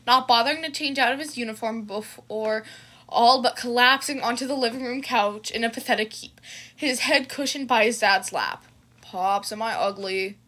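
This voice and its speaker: A woman reads fan fiction aloud as the narrator. Her voice is bright and slightly high, and she tells the story expressively.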